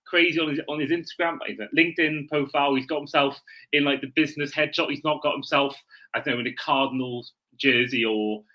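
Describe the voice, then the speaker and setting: A man's voice: medium pitch, steady tone, fairly quick, bright, forceful tone, rough recording. A man co-hosting a sports podcast in casual, chatty, informal conversation.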